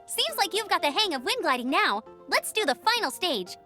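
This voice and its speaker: A peppy young knight instructor in an anime game. She sounds very high and bright, with lively swings in pitch and an earnest, eager manner over music. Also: a little slow, heavily acted. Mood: excited. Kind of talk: anime-style video game voice acting. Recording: music behind the voice.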